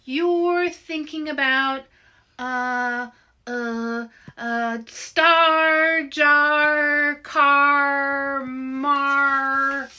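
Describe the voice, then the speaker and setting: A woman's voice: high voice, steady tone, bright, forceful tone, voice pushed up, heavily acted. A woman voicing a small, pompous female chipmunk who pretends to be a great fortune-teller in a children's storybook. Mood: straining to guess. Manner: pompous, dramatic, drawn-out declarations.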